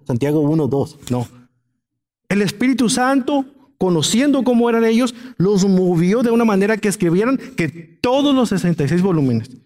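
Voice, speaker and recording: Guy pastor preaching, kinda high voice, emphatic, long echo like a big hall. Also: a little mellow. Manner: emphatic, illustrates points with everyday examples. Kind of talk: sermon, Bible teaching.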